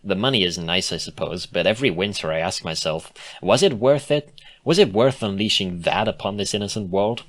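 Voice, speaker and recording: A man's voice: low voice, expressive, brisk. Man playing a dry, exasperated female pony. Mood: wry. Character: sardonic, put-upon young female unicorn pony.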